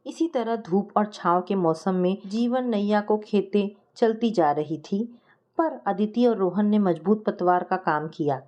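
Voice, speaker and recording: A woman's voice: medium pitch, mellow, lo-fi sound. A woman reading a scripted first-person family story as a storyteller.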